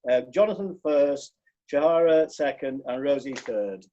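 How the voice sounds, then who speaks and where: A man with a Yorkshire accent chairing a hustings, polite and brisk as he keeps things moving. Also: a little high, animated, dark tone, highs cut off. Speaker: moderator.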